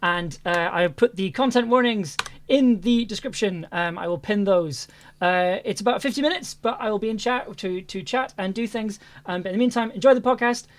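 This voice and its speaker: A woman's voice: medium pitch, a little fast. A woman speaking as a livestream host, informally signing off and handing over to the next segment. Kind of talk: casual show sign-off.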